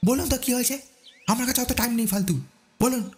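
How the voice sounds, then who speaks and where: A man's voice: very high voice, fairly quick, a little mellow, breathy edge, pitched above the performer's own voice. A man playing a sarcastic, impatient police chief who needles people in a horror audio story. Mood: irritated, impatient. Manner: mocking, needling, colloquial.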